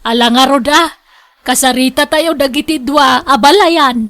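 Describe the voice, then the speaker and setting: A woman's voice: high-pitched, a little slow, a bit bright. A woman playing an exasperated older mother in a radio drama, complaining wearily about her wayward son. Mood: resigned.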